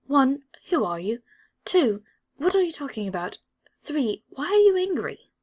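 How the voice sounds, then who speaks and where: A woman's voice: high-pitched, sing-song, unhurried, a little mellow, voice pushed up. Woman voicing a cool, dry-witted schoolboy. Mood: dry bafflement. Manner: cool, dry, matter-of-fact. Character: aloof, clever, unflappable schoolboy with dry wit.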